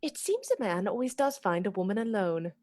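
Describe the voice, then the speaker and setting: Lady doing giddy coy young rich girl in a radio drama, super animated and theatrical, mid voice. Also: mid-range voice, a little fast, natural voice. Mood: confiding, coy.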